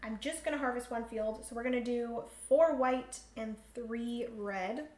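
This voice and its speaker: A woman's voice: a little high, unhurried, darkish tone. A woman hosting a solo board game livestream, chatty, thinking aloud and laughing often. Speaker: host. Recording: roomy room echo, faint hum.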